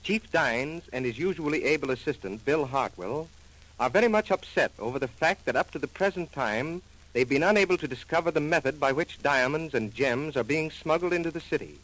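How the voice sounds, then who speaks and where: An American man narrating a scripted 1940s radio drama as its announcer, formal and measured. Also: on the high side, expressive, a bit bright, poor audio. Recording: old 1940s radio recording.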